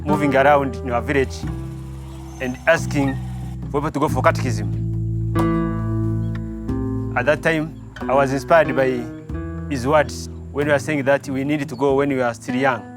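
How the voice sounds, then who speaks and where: A young man from Tanzania, a seminarian recounting his calling in a slow, reflective way with pauses. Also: mid-range voice, steady tone. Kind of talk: personal vocation testimony. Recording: guitar music playing behind.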